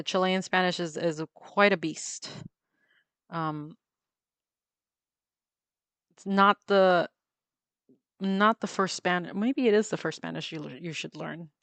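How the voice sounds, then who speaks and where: A woman's voice: fairly low pitch, no echo. A woman chatting casually while she draws on a livestream. She laughs often and fills her talk with ums and restarts. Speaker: livestreamer drawing on a tablet. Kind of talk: casual chat while drawing.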